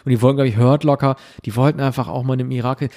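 A man co-hosting a podcast, chatting casually and explaining things at length in a relaxed way. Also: mid-range voice, racing. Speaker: podcast co-host. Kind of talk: casual chat.

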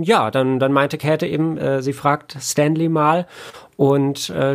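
Halting, mid-pitched man in casual interview. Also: vocal fry. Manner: hesitant, halting with very frequent filler sounds. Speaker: interview guest, audio editor and producer. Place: home studio, remote connection.

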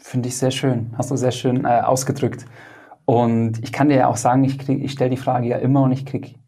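A man's voice: mid-range voice, little pitch movement, fairly quick, warm tone. A man hosting his podcast, asking guests friendly, upbeat questions. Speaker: podcast host and app founder. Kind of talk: podcast interviewing.

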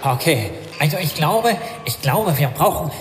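A man's voice: a little high, animated, a little slow, noisy background. A man playing a fretful, bossy adventurer sidekick in a comic audio play, urging and exclaiming. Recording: echoey hall reverb, loud music underneath.

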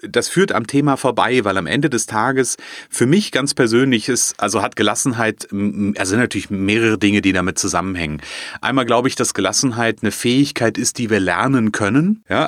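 A man's voice: mid-range voice, a bit bright, no room sound, studio quality. A man speaking as a podcast guest, talking thoughtfully with frequent restarts and fillers. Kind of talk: casual conversational interview.

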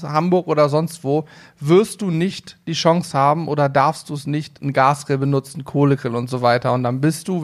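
Man with a somewhat higher voice, loose and talkative podcast co-host. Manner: chatty, easygoing, lots of fillers. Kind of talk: casual podcast chat.

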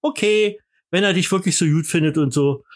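A man's voice: on the high side, a little fast. A man with a Berlin accent hosting a podcast, joking around in boastful, mock-pompous banter full of fillers and restarts. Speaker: podcast host.

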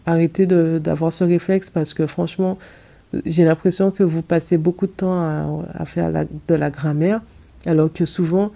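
Earnest woman with a dark, mellow voice. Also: lowish voice, highs cut off. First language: English. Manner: earnest and direct, many fillers and restarts. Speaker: podcast host and English coach. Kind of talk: solo podcast monologue giving advice.

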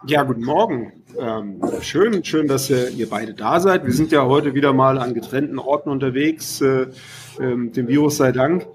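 A man's voice: medium pitch, normal speed, noisy background. A man hosting an interview from a separate location, talking loosely with some ums. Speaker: interview host. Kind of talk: radio interview over remote connection.

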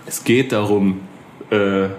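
Man, interviewer, low voice, chatty, easygoing, faint noise. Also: fairly even intonation, slow pace, a little mellow. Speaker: interviewer, host. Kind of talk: casual interview chat.

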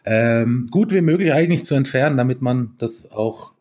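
A man from East Tyrol, a band musician, talking casually in an interview, relaxed and chatty with many fillers and restarts. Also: medium pitch, normal speed. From East Tyrol, Austria.

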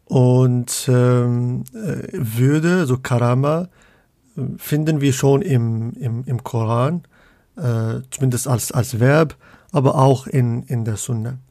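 A man's voice: mid-range voice, takes it slowly, warm tone, no room sound, clean studio sound. A man from Morocco, a guest scholar of Islamic theology in a podcast interview, speaking hesitantly with many fillers and restarts.

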